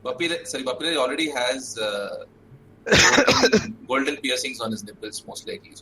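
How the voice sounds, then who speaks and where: A man's voice: medium pitch, very animated, fairly quick, bright, forceful tone, a little hiss or hum, rough recording. A man talking casually on a group podcast, with informal, hesitant phrasing. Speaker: podcast panelist.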